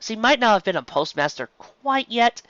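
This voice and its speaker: A woman's voice: on the high side, big pitch swings, normal speed, fairly bright tone, dry recording. A woman reading a story aloud as scripted narration, on a dull, dry home recording. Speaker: story reader. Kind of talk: scripted narration of fan fiction.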